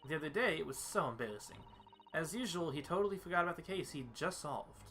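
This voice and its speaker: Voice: medium pitch, lively intonation, fast, a bit bright. A performer voicing a precocious, cheerful young girl in a fan dub of a video game, chatty and innocent. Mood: bright, animated. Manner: chatty, childlike, innocent phrasing. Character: precocious, cheerful young girl storyteller, proud of her father.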